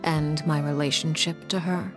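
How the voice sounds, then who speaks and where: An actress playing a cold, calculating woman leader in a video game, speaking in a measured, formal, courteous way. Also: sits low, steady tone, close to an everyday voice. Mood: cool, commanding. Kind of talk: video game voice acting, solemn storytelling. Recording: music under the voice.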